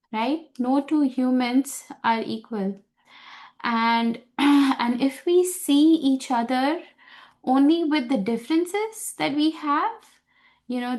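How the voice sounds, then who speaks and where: A woman giving an unscripted scripture class, talking slowly with many fillers and restarts as she searches for words. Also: a little high, a little mellow.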